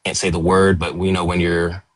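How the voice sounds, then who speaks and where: A man's voice: low voice, little pitch movement, normal speed, no room sound. A man arguing his point steadily and earnestly as a panelist in a live online debate. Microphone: remote call audio.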